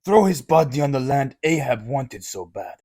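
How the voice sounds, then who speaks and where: A man's voice: mid-range voice, sing-song, normal speed, a little mellow, slightly rough. A man playing a ruthless, commanding military captain who seizes the throne, speaking bluntly. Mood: stern. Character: ruthless commanding male captain turned king. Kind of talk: audio drama acting.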